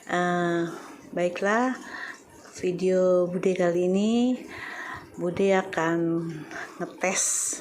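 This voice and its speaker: A middle-aged woman from Indonesia with a Javanese accent, chatting casually to her viewers and pausing often with "eh". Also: lowish voice, steady tone, darkish tone, slight background noise. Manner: hesitant, many filler "eh" pauses. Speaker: vlogger.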